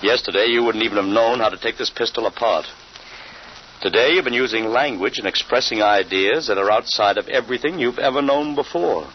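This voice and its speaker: A man's voice: mid-range voice, natural voice. A middle-aged man playing a bewildered father who stammers and questions in disbelief in a 1950s radio drama. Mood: stern. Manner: incredulous, halting, stammering. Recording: dull old radio broadcast with faint hiss.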